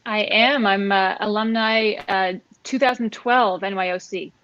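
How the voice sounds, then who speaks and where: A woman's voice: mid-range voice, fairly even intonation, measured pace, a bit bright, no room sound. A Canadian woman in her thirties answering casually as an interview guest over a lo-fi online call. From Halifax, Nova Scotia, Canada. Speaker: guest, percussionist and arts administrator. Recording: dull, lo-fi online call audio.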